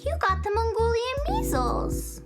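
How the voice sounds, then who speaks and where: Woman as a tiny, extremely high-voiced fairy. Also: big pitch swings, unhurried, over loud music, full character voice. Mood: sympathetic. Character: tiny cheerful, caring fairy friend. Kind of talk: children's radio drama acting.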